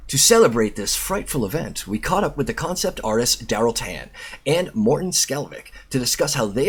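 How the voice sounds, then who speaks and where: A man's voice: medium pitch, slightly rough. A man hosting a video, reading an article aloud in an informal way and breaking off for his own sweary asides. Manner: informal, self-interrupting, sweary. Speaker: YouTube host and reviewer.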